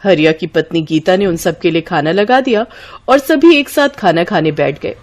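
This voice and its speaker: A woman's voice: lowish voice, lively intonation, moderate pace. A woman narrating a scripted story as a storyteller.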